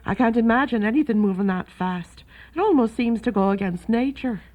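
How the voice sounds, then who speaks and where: A woman's voice: fairly high pitch, animated, brisk, natural voice. An actress playing a kindly, chatty, fussing boarding house landlady in a period radio drama. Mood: welcoming. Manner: fussing, hospitable, gossipy. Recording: thin phone-like band, faint music behind.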